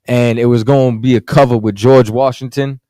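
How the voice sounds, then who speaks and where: A man's voice: mid-range voice, fairly even intonation, fairly quick, mellow, no echo. A man talking casually on a podcast as a guest author, rambling with lots of "you know what I'm saying".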